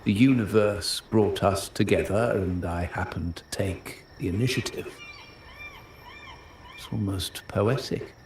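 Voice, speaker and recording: An actor playing a suave, philosophical gentleman in a video game, speaking in measured, poetic phrases. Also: lowish voice. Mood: suave, teasing. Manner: measured, poetic, smooth-mannered. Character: suave, philosophical gentleman with veiled protective intent. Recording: roomy echo, faint background noise.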